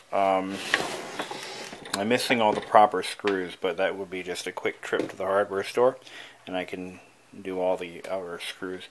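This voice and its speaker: A man with a fairly low voice talks very slowly, as if working things out as he goes. He is casual and halting, like a hobbyist showing off a project. There is clear noise behind him. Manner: musing, thinking aloud, halting. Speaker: hobbyist showing an old device he is restoring. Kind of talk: casual unscripted show-and-tell vlog.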